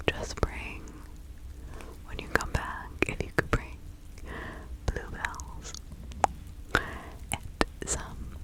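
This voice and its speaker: A woman voicing a kindly village witch healer in an ASMR roleplay, giving gentle counsel in a breathy whisper. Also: rough recording. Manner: gentle, unhurried, instructing.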